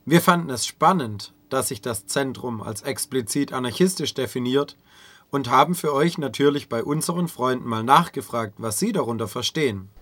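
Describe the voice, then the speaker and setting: A man's voice: mid-range voice, moderate pace, a bit bright, dry recording. A man presenting a radio feature in scripted narration.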